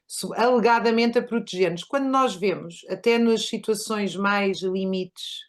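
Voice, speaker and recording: A woman's voice: medium pitch, unhurried. Articulate woman hosting a talk. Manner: articulate, argumentative. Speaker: host. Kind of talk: casual conversation.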